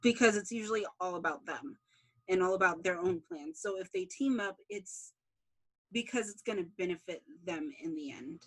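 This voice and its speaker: A woman's voice: fairly low pitch, moderate pace, rough recording. A woman being interviewed as a series creator, explaining things informally in casual conversation.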